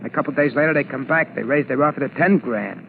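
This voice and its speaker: A man's voice: medium pitch, fast, vocal fry, lightly acted. An actor playing a worried ex-convict safecracker in a 1940s radio drama, speaking hesitantly in uneducated tough-guy slang. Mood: grim, anxious. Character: worried, fearful male ex-convict safecracker turned candy-store keeper. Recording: muffled, noisy 1940s radio broadcast.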